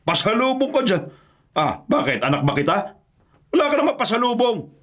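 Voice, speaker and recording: An actor playing an abusive, short-tempered uncle in a Filipino radio drama, with a scolding, barking manner. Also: male voice, high voice, big pitch swings, normal speed, a bit bright, full character voice. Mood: angry, scornful. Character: abusive, short-tempered uncle who spoils his own child.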